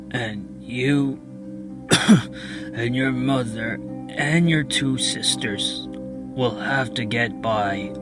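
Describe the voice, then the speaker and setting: A man playing a feeble dying father in a radio drama, grave and resigned, speaking haltingly between coughs and throat clearing. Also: mid-range voice. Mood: weak, grave. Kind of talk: radio theater acting. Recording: loud music under the voice.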